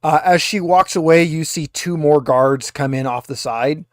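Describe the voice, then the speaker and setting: Guy game master, slightly higher voice, close dry sound, talks it through casually. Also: moderate pace, no room sound. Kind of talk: improvised tabletop game narration and dialogue.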